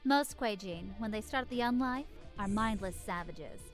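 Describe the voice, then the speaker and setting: A woman's voice: medium pitch, moderate pace, soft background music, close to an everyday voice. An actress voicing a brash, streetwise vampire woman in a video game, with a blunt, playful swagger. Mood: explaining.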